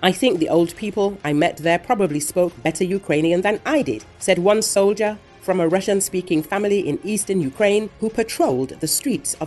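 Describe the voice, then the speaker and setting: A woman reading a scripted news report in a measured, even voice, relaying quotes from others. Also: on the low side. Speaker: news narrator. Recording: voice-over with faint music underneath.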